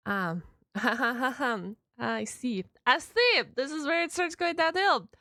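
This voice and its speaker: A woman giving casual reaction commentary in a chatty, expressive way with short interjections. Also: high voice, slow, dry recording. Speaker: reaction streamer.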